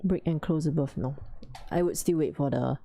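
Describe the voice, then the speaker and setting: A woman hosting a casual trading livestream, talking quietly with a sore throat as she answers questions from chat. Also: deep voice, normal speed, creaky. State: sore throat, losing her voice. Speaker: forex trading livestream host.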